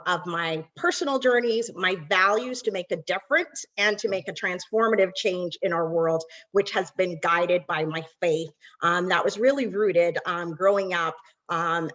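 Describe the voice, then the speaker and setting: A woman's voice: fairly low pitch, a bit bright, muffled recording. A woman from Barbados, a nonprofit executive, giving an earnest webinar welcome with frequent ums.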